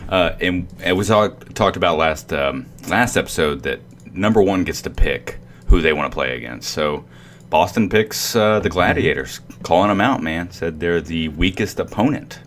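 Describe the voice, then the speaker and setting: A man's voice: low voice, lively intonation, moderate pace, fairly bright tone, slight background noise. A man co-hosting a casual esports podcast, laid-back and agreeable, often chiming in with yeahs. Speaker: podcast co-host.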